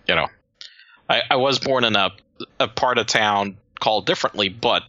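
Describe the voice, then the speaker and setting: A woman's voice: rumbling low, moderate pace, pushed and bright, no room sound, highs cut off. A woman in her forties from Puerto Rico, co-hosting a podcast in rambling casual chat with many restarts and asides. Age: 40s. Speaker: podcast co-host.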